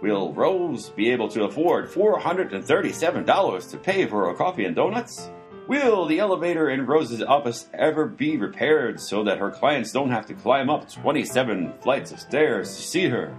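Animated mock-dramatic male announcer. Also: medium pitch, normal speed, faint music behind.

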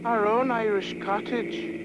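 A man's voice: high-pitched, fairly even intonation, a little slow. A man voicing a naive, eager young pop musician in a cartoon. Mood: dry. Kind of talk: 1960s animated cartoon acting. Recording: dull, noisy old cartoon soundtrack.

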